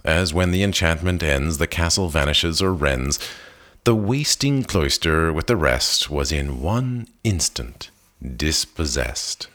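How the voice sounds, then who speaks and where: A man giving a slow, expressive reading of a long poem, with measured phrasing and an occasional restart. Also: extremely low pitch. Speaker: poetry reader. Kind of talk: slow dramatic poetry reading.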